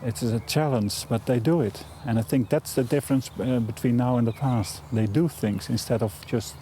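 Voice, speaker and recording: Mellow man, hesitant and searching for words. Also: medium pitch, normal speed, a little hiss or hum. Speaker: garden designer.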